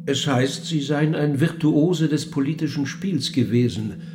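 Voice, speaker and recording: An actor playing a cool, probing interviewer of the dead in a German radio play, speaking in a measured, dry and pointed way. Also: male voice, fairly high pitch, a little mellow, lightly acted. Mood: measured reciting.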